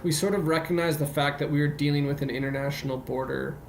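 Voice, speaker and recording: A middle-aged Canadian man with a measured, hesitant way of talking, casually recalling his life as an interview guest in an echoey room. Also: on the high side, fairly even intonation, vocal fry, slight background noise. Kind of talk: casual recollection. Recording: echoey room, guitar music behind.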